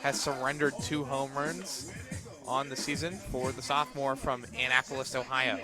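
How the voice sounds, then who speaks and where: A man doing live play-by-play softball commentary for radio, with clipped, terse calls that lift on big plays. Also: medium pitch, level tone, moderate pace, music in the background. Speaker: play-by-play sportscaster.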